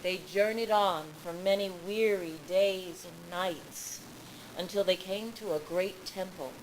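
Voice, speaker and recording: A woman reading a story aloud to a live audience with deliberate, emphatic phrasing. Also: on the low side, rough recording. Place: public library event room. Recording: live public event with background noise.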